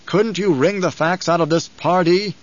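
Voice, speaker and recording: A man's voice: fairly high pitch, moderate pace, lo-fi sound, natural voice. A man voicing a proud, stern and weary nobleman in an audiobook, with an authoritative, clipped delivery. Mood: angry, defiant.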